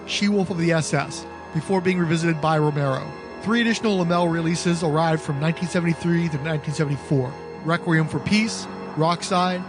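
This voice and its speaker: A man with a slightly high voice reads scripted documentary narration as a podcast host. Music plays clearly behind him.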